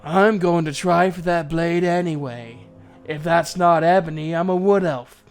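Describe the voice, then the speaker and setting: A man playing a daring dark elf adventurer in a storybook tale, calm and cool-headed. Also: a little high, measured pace, clean tone, faint music behind. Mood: determined. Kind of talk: storybook character dialogue.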